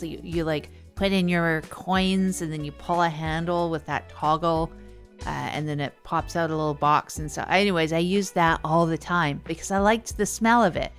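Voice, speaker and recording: A woman's voice: on the low side, close to an everyday voice. A woman playing an awkward radio advice host, chatting in a rambling, hesitant, conversational way in a comedy audio show.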